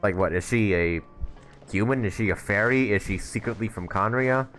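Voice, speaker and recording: Guy livestreaming a game, lowish voice, chatty n wry, faint music in the back. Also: moderate pace, fairly bright tone. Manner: informal, sweary, wry asides. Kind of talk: casual live gameplay commentary.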